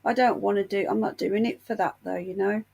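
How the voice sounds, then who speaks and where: A woman's voice: mid-range voice, steady tone, brisk, creaky, mellow. A woman hosting a casual livestream, unwell with a painful chest, chatting in a gossipy, indignant storytelling manner. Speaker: livestream host.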